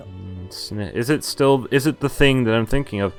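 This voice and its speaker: A man's voice: mid-range voice, normal speed, faint music behind. A man, a native Dutch speaker, streaming a visual novel, reading it aloud and commenting casually as he goes, sometimes stumbling over words. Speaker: game streamer.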